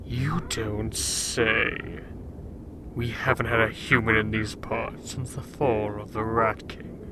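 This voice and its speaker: A man's voice: fairly low pitch, flat delivery, slow pace, noisy background. A man playing a smug, menacing frost king villain with a theatrical, mocking drawl in an audio drama.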